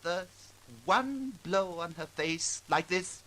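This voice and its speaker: An actor playing an unhinged, menacing musician turned killer in a melodramatic 1940s radio drama. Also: male voice, sits high, lively intonation, takes it slowly. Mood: cold menace. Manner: melodramatic, swinging from hushed menace to ranting. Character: unhinged male pianist turned strangler, menacing and wild. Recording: noisy vintage 1940s radio recording.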